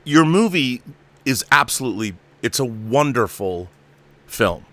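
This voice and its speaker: A middle-aged man with a bright, punchy voice at an average pitch. He hosts a casual chat with effusive emphasis, wandering from one thought to the next. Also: animated, a little slow. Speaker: talk show host, interviewer. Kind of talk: casual enthusiastic interview chat.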